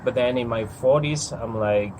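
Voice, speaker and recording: A man's voice: medium pitch, moderate pace. A man in his forties from Ghana, telling his personal story in an interview in a reflective, hesitant way with frequent ums. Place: outdoors. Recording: outdoor noise with passing airplanes.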